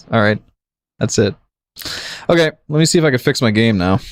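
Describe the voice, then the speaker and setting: A man's voice: mid-range voice, no echo. A man hosting a gaming livestream, thinking aloud in an analytical, jokey way with casual commentary. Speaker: livestream gamer, host.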